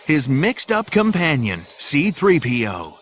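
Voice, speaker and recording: A man's voice: fairly high pitch, huge pitch swings, a little slow, fairly bright tone, a little hiss or hum, heavily acted. A man narrating an audio drama in an urgent, theatrical storytelling style.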